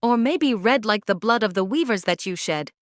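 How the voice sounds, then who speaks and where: A woman's voice: medium pitch, lively intonation, normal speed, no room sound, studio quality, lightly acted. A woman playing a defiant, taunting female bird in an audio play, speaking in a declamatory way. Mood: mocking. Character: defiant, mocking female bird, disillusioned former propagandist. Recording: music behind the voice.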